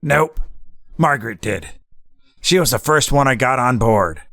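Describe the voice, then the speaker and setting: Man playing a cool, gravelly gangster boss. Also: mid-range voice, animated, a little slow, fairly bright tone, no room sound. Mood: calculating. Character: cool, scheming gangster taking over as boss. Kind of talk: hardboiled 1940s gangster audio drama acting.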